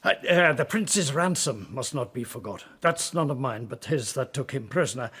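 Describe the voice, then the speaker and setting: An actor playing a genial but authoritative older king, husky and measured, in a classical verse radio drama. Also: medium pitch, lively intonation, fairly bright tone. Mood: gracious. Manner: stately, measured, instructing.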